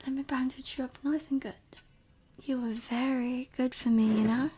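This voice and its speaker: A woman playing a seductive, possessive vampire professor in an intimate ASMR audio roleplay, breathy and often whispering, teasing and softly commanding. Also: sits high, fairly even intonation, normal speed. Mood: gloating, coddling.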